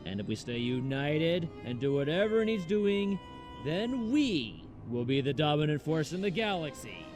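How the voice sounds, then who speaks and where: A man voicing an ambitious, persuasive pirate warlord in a comic dub, commanding and smooth-talking. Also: on the high side, sing-song, measured pace, over music.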